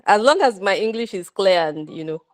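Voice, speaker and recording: A woman's voice: medium pitch, lively intonation, normal speed, dry recording, clean studio sound. A Nigerian woman with a Nigerian accent, a friendly attendee on a video call who laughs easily. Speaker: class attendee.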